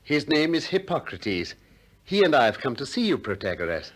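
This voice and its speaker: An actor playing a probing, gently ironic Greek philosopher who also narrates, in a 1960s radio play. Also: male voice, medium pitch, very animated. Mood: courteous, inquiring. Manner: questioning, gently ironic, deliberate.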